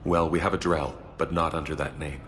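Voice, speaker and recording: A man playing a sympathetic hospital receptionist in a video game, measured and matter-of-fact. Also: very deep voice, fairly even intonation, fairly bright tone. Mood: calm, frank.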